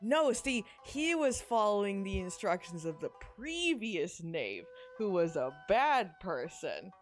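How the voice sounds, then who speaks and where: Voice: medium pitch, big pitch swings, slow. A podcast host chatting casually on a livestream in an informal, jokey way. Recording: music behind the voice. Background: music.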